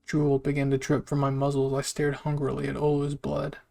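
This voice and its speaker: A man's voice: on the high side, monotone, brisk, creaky, dark tone. A man reading a scripted first-person story aloud as a narrator. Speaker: fan fiction narrator.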